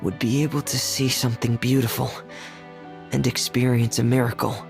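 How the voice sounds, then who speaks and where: A gentle teenage boy from a video game, acted by a man, speaking softly and earnestly with some creak in the voice. Music plays lightly behind the measured lines. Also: medium pitch, flat delivery, close to an everyday voice. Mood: hopeful, earnest.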